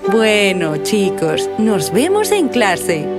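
A woman playing a kindly, reassuring teacher of magic in a children's cartoon, calm and helpful in manner. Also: mid-range voice, slow, exaggerated voice. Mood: calm, explaining. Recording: loud children's music underneath.

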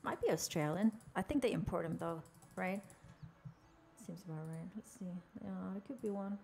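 A woman's voice: fairly low pitch, normal speed, vocal fry, dark tone, a little hiss or hum. A young woman from Norway with a Norwegian accent, chatting playfully with viewers while narrating her game character. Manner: chatty, jokey, chatting with chat while playing. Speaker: game streamer.

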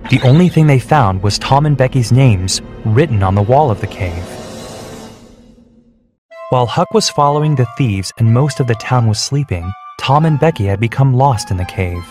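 Man, steady storybook narration, faint background music. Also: medium pitch. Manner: clear, measured, steady.

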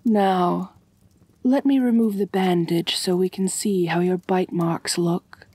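Woman playing a soft, breathy, low-voiced vampire mistress. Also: fairly even intonation, no echo. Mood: tender, doting. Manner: genteel, measured, doting, soft-dominant. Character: ancient wealthy vampire mistress, poised, tender and possessive. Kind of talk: intimate ASMR roleplay.

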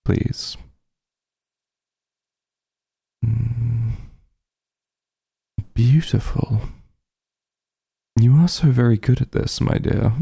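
Dark, husky vampire mafia heir, played by a man. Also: fairly low pitch, no echo, studio quality, exaggerated voice. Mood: teasing, approving. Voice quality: husky, breathy, gravelly. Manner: slow, smooth-tempered, teasing drawl with soft murmurs. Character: teasing, protective male vampire mafia heir, possessive and sly. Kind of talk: intimate ASMR roleplay acting.

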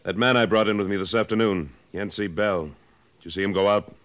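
A man's voice: fairly low pitch, a little fast, fairly bright tone, lightly acted. An actor playing a tough cattle rancher and former cavalryman who talks in a blunt, pressing way in a 1950s radio western. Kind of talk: 1950s radio drama acting.

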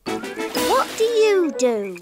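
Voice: extremely high pitch, huge pitch swings, drawn-out words, over loud music, heavily acted. An actor voicing a curious little cartoon princess, asking direct, childlike questions. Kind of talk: cartoon character asking short interview questions.

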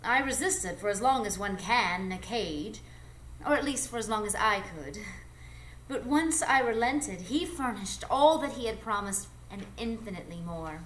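A woman playing an alluring, ageless, mysterious adventuress in a live radio drama, speaking in a measured, coy, theatrical way. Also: medium pitch, animated, a bit bright, natural voice. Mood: poised, confiding. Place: live performance space before an audience. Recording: noisy live stage recording.

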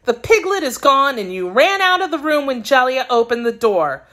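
A woman voicing an anxious, earnest young girl in a children's storybook, excitable and insistent. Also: sits high, moderate pace, pitched above the performer's own voice.

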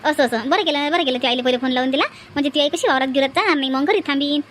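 High, fast-chattering bossy village aunt played by a woman. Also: fairly bright tone, slight background noise. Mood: chatty. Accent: Vidarbha Marathi. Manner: chattering, nagging, repetitive. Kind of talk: exaggerated comic cartoon dialogue.

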